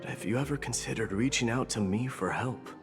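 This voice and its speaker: A man playing a proud, stoic warrior prince in a fantasy game, speaking gravely and formally. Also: medium pitch, a little fast, lightly acted. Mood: grave, commanding. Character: proud, stoic warrior prince, demigod, resigned to his fate. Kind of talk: scripted fantasy game voice acting. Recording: music behind the voice.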